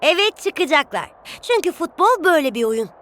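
Cartoon match commentator, extremely high, bright, brisk, dry. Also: female voice, very animated.

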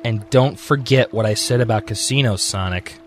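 A man's voice: on the low side, moderate pace, faint music behind, close to an everyday voice. A man voicing a bossy, exasperated young echidna guardian in an amateur radio drama.

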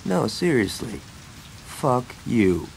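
An actor playing a cocky, reckless young gangster who loves violence in a video game, brash and sarcastic. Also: male voice, medium pitch, big pitch swings, slow, vocal fry, slight background noise, exaggerated voice. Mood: defiant, sarcastic. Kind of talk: video game cutscene acting.

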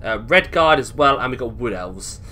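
A man's voice: mid-range voice, lively intonation, normal speed, bright, forceful tone. A man with a British accent giving casual, rambling playthrough commentary as a gaming video host, thinking aloud as he goes. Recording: game music behind voice. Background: video game music.

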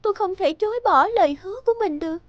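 A woman voicing a gentle, dutiful young bride in a Vietnamese cartoon, speaking politely and earnestly. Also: very high-pitched, lively intonation, racing, no room sound, lo-fi sound. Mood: earnest, resolved. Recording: background music under the voice.

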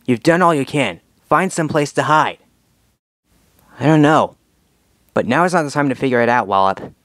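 Young male cartoon dragon hero, performed by a man: confident, earnest team leader, mid-pitched, very dry close sound. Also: animated, moderate pace, a bit bright, no echo, close to an everyday voice. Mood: urgent, commanding. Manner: earnest, commanding, rallying. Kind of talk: scripted cartoon fandub audition lines.

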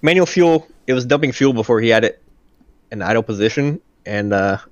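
A man's voice: mid-range voice, lively intonation, a little fast, no echo, muffled recording. A man who works as a Marine aircraft mechanic answers technical questions in a plain-spoken, casual way over a video call.

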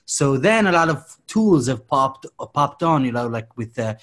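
A man in his thirties from Israel with an Israeli accent, talking casually and a little haltingly in a panel discussion over a headset. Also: medium pitch, very animated, a bit bright. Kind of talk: casual round-table discussion. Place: indoor room. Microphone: headset on a video call.

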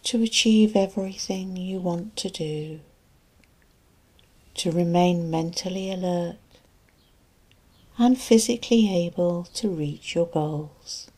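A woman with a fairly low, soft voice leads a sleep hypnosis session. Her delivery is very slow and soothing, with long gaps between short phrases. The tone is breathy and husky, like a therapist easing a listener toward rest. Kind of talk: guided relaxation and sleep hypnosis narration.

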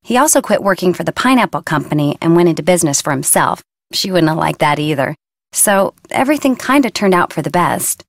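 Casting: a young surfer girl for a video game. Blunt, breezy, slangy delivery with lively swings in pitch, recorded dry. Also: no echo. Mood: matter-of-fact. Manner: casual, slangy, flippant. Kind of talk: video game voice acting, casual slangy dialogue.

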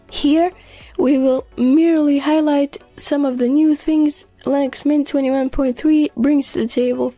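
A woman with a notably high, mellow voice narrates a scripted tech news video. Her delivery is measured and explanatory, with music playing behind her. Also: no room sound.

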